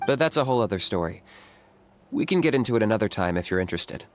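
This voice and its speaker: An actor playing a cool, pragmatic young warrior in a video game, composed and matter-of-fact. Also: male voice, lowish voice, sing-song, fast, faint music behind. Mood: calm, explanatory.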